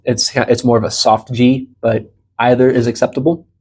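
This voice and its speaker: A man's voice: medium pitch, flat delivery, normal speed, darkish tone, slightly rough. Encouraging American man teaching a class. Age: 30s. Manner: encouraging, explanatory. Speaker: Spanish teacher. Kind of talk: informal group language lesson. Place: small indoor room beside a large monitor.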